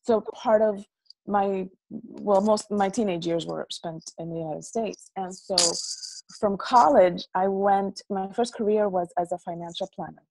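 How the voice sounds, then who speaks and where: Gravelly-voiced woman from the Dominican Republic. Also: medium pitch, measured pace, a little hiss or hum, low-quality recording. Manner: lively, animated storyteller who repeats words as she talks. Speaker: guest, business coach. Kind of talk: casual interview storytelling. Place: dorm room.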